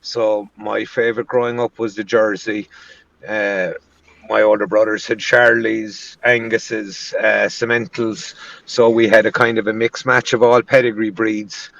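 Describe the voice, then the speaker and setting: A man with a Cork accent, a guest auctioneer chatting in an interview, unhurried and pausing often mid-sentence. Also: fairly low pitch, little pitch movement, creaky, fairly bright tone. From Cork City, Ireland. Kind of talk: casual interview chat. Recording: dull, distant mic.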